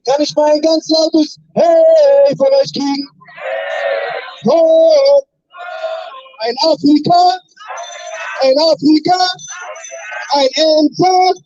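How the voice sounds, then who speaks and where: A man's voice: extremely high pitch, fairly even intonation, slow, long pauses, over music. A man from Berlin giving a rousing protest speech at an outdoor street rally, leading chants into a handheld mic. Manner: rousing, leads chants, shouts slogans. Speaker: rally speaker. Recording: dull lo-fi sound.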